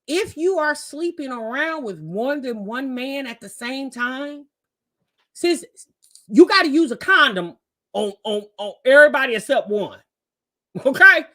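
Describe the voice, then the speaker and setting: A woman's voice: a little high, sharp tone. A woman giving casual, opinionated commentary as a reaction host, talking emphatically and colloquially with frequent rhetorical questions.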